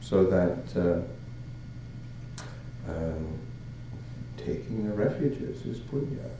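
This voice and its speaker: An older man from Canada gives an unhurried dharma talk in a slow, lowish voice. He halts often, filling pauses and restarting phrases. The recording is very echoey, with steady noise in the background. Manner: halting, many fillers and restarts, chuckles. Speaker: Buddhist monk.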